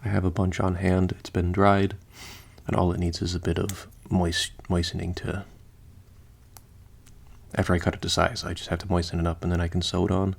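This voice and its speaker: Deep, gravelly man speaking softly. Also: monotone. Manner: soft, unhurried, partly whispered and gently rambling. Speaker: audio roleplay performer playing a zombie mortician. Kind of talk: ASMR-style roleplay monologue.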